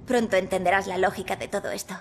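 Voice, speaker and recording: A woman's voice: mid-range voice, steady tone, fast, vocal fry, a little hiss or hum, natural voice. Woman playing a cryptic ghost guide, faint ambience. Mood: calm, instructive. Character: cryptic, stern female ghost guide, calm and knowing. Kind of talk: video game cutscene acting in Spanish dub.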